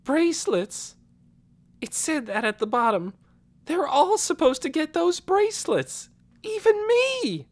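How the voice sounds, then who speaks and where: A woman's voice: sits high, big pitch swings, unhurried, voice pushed up. A woman voicing a guilty, wounded teenage girl in an audiobook, plaintive and pleading, with folksy, uneducated grammar.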